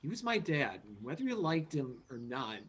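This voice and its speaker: A man playing an earnest, anxious but insistent son in a radio play, with a husky, hesitant delivery. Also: mid-range voice, sing-song, normal speed, a bit bright. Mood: hurt, insistent. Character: earnest, anxious, insistent child of a dead father.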